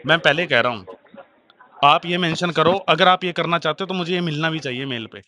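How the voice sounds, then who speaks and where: A man's voice: a little high, fairly quick, pushed and bright. A man making a heated phone complaint, arguing insistently and repeating his demands.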